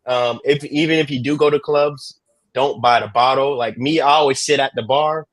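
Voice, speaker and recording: A man speaks at an everyday middle pitch, sharing advice in earnest and often repeating his words. He chuckles through his stories. His voice is recorded dry, close and plain. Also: fairly even intonation, normal speed, dry recording. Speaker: guest traveler. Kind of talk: casual advice in a chat.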